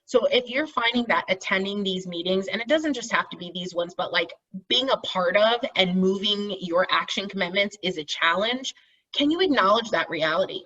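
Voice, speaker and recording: A woman's voice: mid-range voice, expressive, moderate pace, pushed and bright. A middle-aged woman facilitating a group call, explaining things informally and encouragingly and often checking in with listeners. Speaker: meeting facilitator, team lead. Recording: dull, distant video-call audio.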